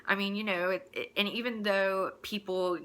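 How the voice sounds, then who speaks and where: A woman's voice: mid-range voice, fairly even intonation, moderate pace, sharp tone. A woman in a casual, opinionated rant, speaking in a blunt, crude and exasperated manner with mocking asides. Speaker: host delivering a personal rant.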